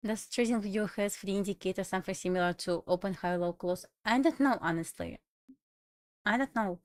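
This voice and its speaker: Woman, Russian accent, native Russian speaker, casual livestream chat, halting English, mid-pitched voice, dry close mic. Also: darkish tone, dry recording. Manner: chatty, halting non-native English with restarts. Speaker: livestream trader.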